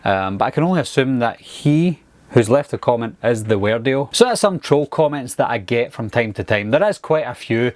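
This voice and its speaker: A man's voice: mid-range voice, dry recording. A man with a Glaswegian accent, talking casually and earnestly to his viewers, with some hesitations and restarts. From Glasgow, Scotland. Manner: earnest, patient, lots of fillers and restarts. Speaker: YouTuber. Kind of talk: casual talk to camera.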